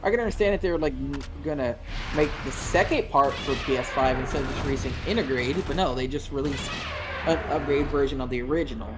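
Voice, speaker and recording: A man in his thirties streaming a game and chatting with friends, excitable and quick to swear and laugh. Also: medium pitch. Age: 30s. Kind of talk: casual gaming stream chat. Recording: game noise clearly behind.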